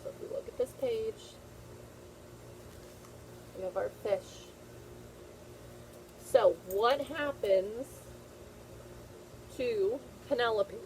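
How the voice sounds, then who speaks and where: A woman's voice: on the high side, very slow, long pauses, a little mellow, a little hiss or hum, poor audio. A woman teacher reading a picture book aloud to young children, repeating phrases and checking in with simple questions.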